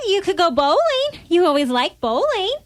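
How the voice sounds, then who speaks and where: A woman's voice: very high voice, lively intonation, normal speed. A woman playing an oblivious, doting wife in an old-time radio comedy sketch, airy and soothing. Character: oblivious, doting wife who mishears everything.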